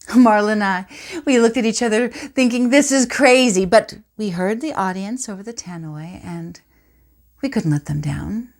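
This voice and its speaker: A woman playing a reflective, intense stage actress in a theatre monologue, speaking deliberately with dramatic pauses. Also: mid-range voice, darkish tone. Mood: excited recollection. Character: poised stage actress recalling past performances, intense and reflective.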